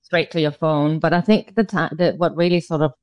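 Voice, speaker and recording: A woman's voice: low-pitched, fairly even intonation, brisk, a little mellow, no room sound. A middle-aged woman from Denmark, a former road cyclist, answering radio interview questions hesitantly with many fillers and restarts.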